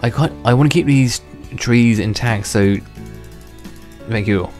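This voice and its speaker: A man's voice: mid-range voice, measured pace, darkish tone. A young man giving casual, rambling commentary while playing a game, restarting his sentences and chuckling to himself, his voice a little worn from being unwell. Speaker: gaming channel host. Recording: faint background music under voice.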